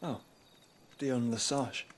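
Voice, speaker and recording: An actor playing a gentle, solemn young nobleman, weary and wounded, speaking formally in a soft, breathy voice near a whisper. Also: mid-range voice, steady tone. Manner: measured, formal, reflective speech. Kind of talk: game cutscene acting.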